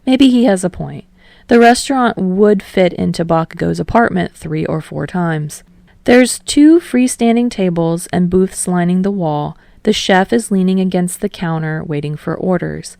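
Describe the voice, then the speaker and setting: A woman narrates fiction from a script. Her voice sits on the low side, soft-edged and mellow with a fair amount of fry. The recording is close and dry. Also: no room sound. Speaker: podfic narrator. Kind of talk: scripted narration, audiobook storytelling.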